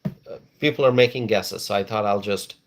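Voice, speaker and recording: A man's voice: fairly low pitch, normal speed. A man from Bangladesh hosting a casual live stream, reading viewers' comments aloud and repeating phrases for emphasis.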